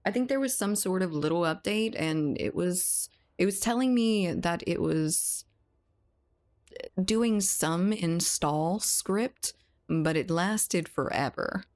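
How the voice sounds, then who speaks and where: Woman game streamer, slightly low voice, chatty and laughing, close desk mic. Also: slow, a little mellow. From South Louisiana, US. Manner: chatty, laughs often, reads and answers chat. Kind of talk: casual live-stream chat while gaming.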